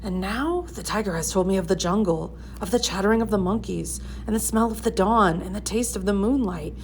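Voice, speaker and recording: A woman voicing a resolute young storybook princess, soft-spoken and assured. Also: mid-range voice, fairly quick, slight background noise, pitched above the performer's own voice, natural voice, poor audio. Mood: quietly resolute. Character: quiet, resolute young princess newly speaking.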